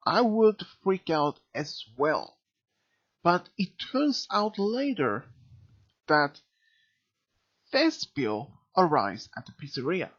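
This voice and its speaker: Slow, halting reviewer with lively pitch swings. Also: breathy edge. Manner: halting, searching for words. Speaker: video reviewer. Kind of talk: unscripted review commentary. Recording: dull, lo-fi mic sound.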